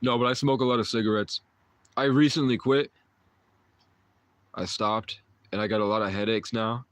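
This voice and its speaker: A man's voice: fairly low pitch, steady tone, normal speed, a bit bright, dry recording. A man in his twenties, a livestream host, chatting and rambling with viewers in a meandering, mystical way, full of restarts and short chuckles. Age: 20s.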